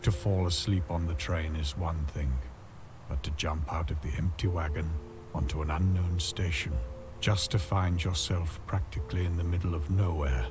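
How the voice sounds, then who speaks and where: Man acting a deep, brooding gothic storyteller. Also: steady tone, noisy background, close to an everyday voice. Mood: brooding, uneasy. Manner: slow, literary, ominous. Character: brooding gothic male storyteller, ominous and weary. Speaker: game narrator. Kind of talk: scripted dramatic narration. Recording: music behind the voice.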